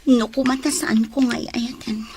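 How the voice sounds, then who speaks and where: A woman acting a tender, lovelorn young rural homemaker in an audio drama, with an Ilocano accent and breathy, plaintive delivery. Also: a little high, fairly even intonation, measured pace, darkish tone, slight background noise. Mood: wistful.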